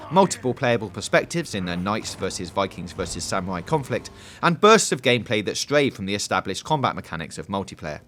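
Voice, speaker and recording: A man's voice: fairly low pitch, fairly bright tone, slight background noise. A man reading a scripted video game preview voice-over in a polished, emphatic presenter style.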